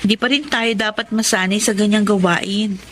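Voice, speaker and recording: Actress as poor, protective young Filipino elder sister; earnest, mid-pitched radio drama delivery. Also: female voice, fairly even intonation, normal speed, fairly bright tone, slight background noise, lightly acted. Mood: earnest, worried. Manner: earnest, cautioning.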